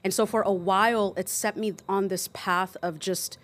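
A woman's voice: mid-range voice, fairly even intonation, moderate pace. A woman in her thirties from Greece, a pastor guest on a studio podcast, telling her story in a chatty, friendly way. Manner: warm, chatty, laughs often. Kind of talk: personal testimony in conversation. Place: small studio, indoors. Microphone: boom-arm studio mic.

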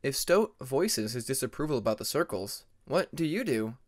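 A man's voice: medium pitch, sing-song, moderate pace. A man voicing a friendly young male dragon student with a polite, inquisitive manner. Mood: curious.

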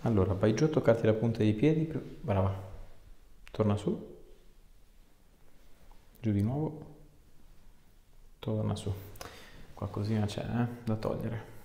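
Male chiropractor, low voice, informal and encouraging, recorded in an echoey treatment room. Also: measured pace, vocal fry, pauses often, warm tone. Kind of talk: casual clinical chat and instructions to a patient. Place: small indoor clinic treatment room.

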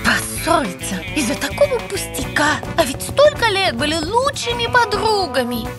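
Very high, melodramatic cartoon pig lady. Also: very animated, moderate pace, over loud music. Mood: sulky. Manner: theatrical, plaintive, gushing. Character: melodramatic, self-pitying winged pig girlfriend, squeamishly dramatic. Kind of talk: animated cartoon voice acting.

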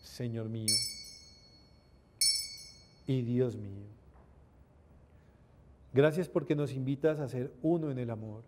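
A middle-aged man, a priest, reciting liturgical prayers in a measured, reverent, solemn way. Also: mid-range voice, very noisy. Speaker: priest celebrating Mass. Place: indoor chapel at an altar. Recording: reverberant chapel sound.

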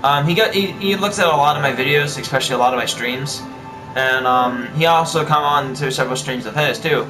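A man's voice: on the high side, fairly quick, music in the background, rough recording. A young man chatting casually in a bedroom into a camera a short way off, joking and swearing with a friend. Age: young adult. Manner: profane, joking. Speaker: vlogger. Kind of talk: casual banter. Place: small bedroom. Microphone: webcam or console camera a short way off.

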